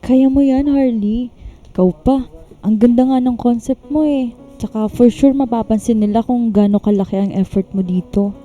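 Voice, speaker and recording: A woman's voice: fairly high pitch, steady tone, moderate pace, mellow, muffled recording, soft background music, natural voice. An actress playing a supportive, concerned female office friend in a radio drama, chummy in manner. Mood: encouraging.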